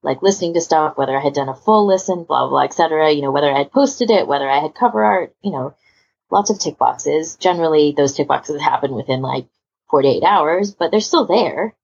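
Female podcast host, husky and low, chatty and rambling, slightly dull lo-fi sound. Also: lively intonation, fairly quick, a little mellow. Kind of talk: casual panel chat.

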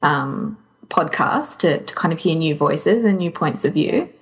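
A woman's voice: deep voice, lively intonation, a little slow. A woman co-hosting a podcast in a casual, conversational style. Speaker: podcast co-host.

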